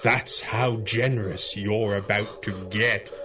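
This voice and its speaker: A stern, slow-spoken masked hero. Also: male voice, fairly low pitch, steady tone, noisy background. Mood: cold, commanding. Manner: slow, grave, deliberate menace. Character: stern, implacable masked hero with mind powers. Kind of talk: 1930s-set radio superhero drama acting.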